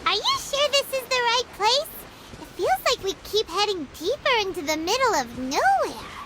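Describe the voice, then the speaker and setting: A woman voicing a small, excitable fairy companion girl who gasps and reacts eagerly in a video game. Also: very high-pitched, noisy background. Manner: eager, bouncy, quick to gasp. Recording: game audio with loud music.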